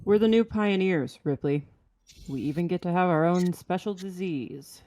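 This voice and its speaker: A man's voice: high voice, moderate pace, a little mellow, a little hiss or hum, close to an everyday voice. A man playing a terse, weary spaceship captain in a sci-fi script table read.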